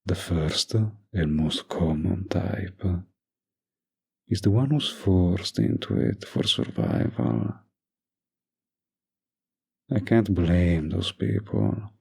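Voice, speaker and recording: A man playing a dominant young mafia boss boyfriend, menacing yet doting, with a gravelly, husky voice in a scripted romantic audio roleplay. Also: sits low, big pitch swings, extremely slow, long pauses, mellow, full character voice. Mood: calm, intimate. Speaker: voice actor.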